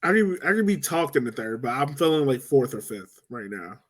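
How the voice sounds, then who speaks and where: A man hosts a relaxed sports podcast with a mid-range voice. He talks in a halting way and often repeats words while he sorts out his thoughts. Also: mid-range voice. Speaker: podcast host. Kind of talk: casual sports chat.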